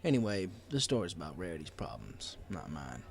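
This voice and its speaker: A man reads a first-person story as an audiobook narrator. His delivery is wry and conversational, with a very lively, varied intonation. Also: low-pitched, fairly quick, gravelly, faint music behind.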